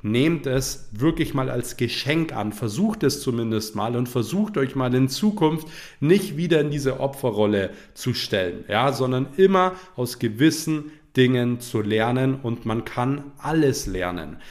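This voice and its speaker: A young man hosting a motivational podcast, talking earnestly while he has a cold, peppering his points with quick fillers. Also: mid-range voice, lively intonation. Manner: earnest, repetitive, frequent "ja" fillers. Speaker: podcast host.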